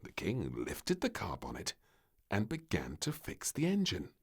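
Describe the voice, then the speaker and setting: Children's read-along narrator, a man with a very deep, unhurried and richly varied voice, expressive storytelling. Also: creaky, husky.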